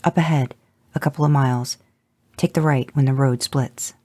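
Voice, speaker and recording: A reader voicing a calm man who speaks in a level, matter-of-fact way, in an audio fanfic reading. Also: medium pitch, moderate pace, no echo, lightly acted. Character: Jensen, calm man giving directions.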